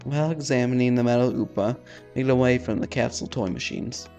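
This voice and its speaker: A man's voice: medium pitch, fast, darkish tone, highs cut off, faint music behind. A man hosting a let's-play, reading a visual novel aloud with casual asides and the odd stumble over words.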